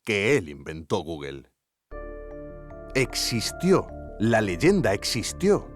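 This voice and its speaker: An actor playing an earnest investigative podcast narrator with a Spanish accent from Spain, theatrical, suspenseful and tongue-in-cheek. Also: male voice, fairly low pitch, huge pitch swings, slow pace, music in the background, heavily acted. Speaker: host. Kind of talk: scripted documentary narration.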